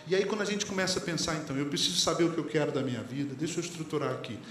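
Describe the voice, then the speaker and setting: A man's voice: on the high side, very fast, fairly bright tone, a little hiss or hum. A man with a Brazilian Portuguese accent, a pastor preaching a sermon in a conversational way and putting questions to the congregation. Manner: conversational preaching, quotes lyrics and sayings, asks the congregation questions. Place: church hall. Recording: echoey church hall, faint ambience.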